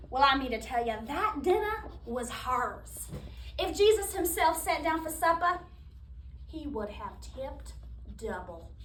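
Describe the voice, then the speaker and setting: A woman performing a sassy, devout diner waitress in a theatrical monologue, preaching with emphatic, dramatic pauses. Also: high-pitched, fairly bright tone, heavily acted. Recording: echoey room, distant mic, background noise.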